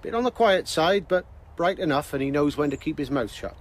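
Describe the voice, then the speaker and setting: An actor playing a deferential local chief inspector in a radio drama, matter-of-fact and clipped. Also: male voice, on the high side, animated, brisk. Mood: businesslike.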